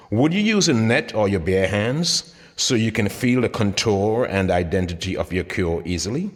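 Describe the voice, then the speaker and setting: A man reading his own motivational book aloud as scripted narration, with dramatic, emphatic delivery. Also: lowish voice. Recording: long hall-like reverb.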